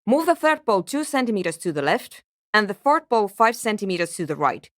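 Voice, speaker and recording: A woman's voice: mid-range voice, sing-song, fairly quick, dry recording, studio quality, lightly acted. A woman playing a guarded, impatient and anxious programmer in a video game, with a terse, precise and matter-of-fact way of talking. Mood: curt, dry. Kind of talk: scripted game character dialogue.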